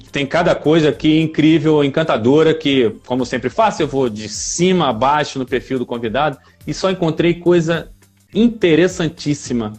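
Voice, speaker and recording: A man's voice: a little high, darkish tone. A man hosting a podcast, introducing his guest with enthusiastic, effusive praise. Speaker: podcast host.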